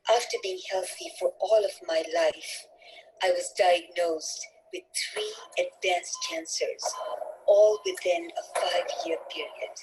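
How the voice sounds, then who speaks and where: A woman from Tanzania reading a prepared introduction about herself, slow and measured with wide pitch swings. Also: a little mellow, rough recording. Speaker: author.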